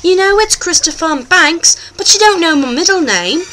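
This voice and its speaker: A woman playing a boastful, goofy British male show host with an exaggerated British accent, presenting a comic vlog in a hammy, excitable, rambling way. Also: extremely high pitch, normal speed, a little hiss or hum, exaggerated voice, rough recording. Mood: excitable, silly.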